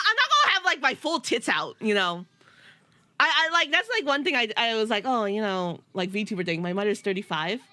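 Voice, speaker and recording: A woman's voice: sits high, sharp tone. A woman around thirty with a nasal voice, chatting casually and giggling as she streams a game. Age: about 30. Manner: giggly, rambling, self-teasing. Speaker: VTuber streamer. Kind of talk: casual live-stream chat while gaming.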